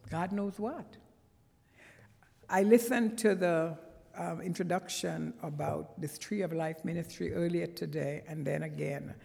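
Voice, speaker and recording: A woman's voice: on the low side, gravelly, a little mellow. A woman from Trinidad with a Trinidadian accent, preaching a conversational sermon as a guest in a church, with earnest, emphatic bursts. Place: church sanctuary. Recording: long church reverb.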